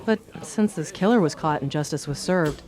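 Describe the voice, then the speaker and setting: An actor playing a small-town bartender in a radio play, recounting a local legend in a measured, ominous manner. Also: mid-range voice, a little mellow, lightly acted. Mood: solemn. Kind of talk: dramatic storytelling in a radio play.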